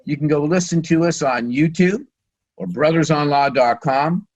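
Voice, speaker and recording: A man hosting a radio interview, halting as he searches for words, with a voice that is starting to give out. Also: on the high side, highs cut off. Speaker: radio show host.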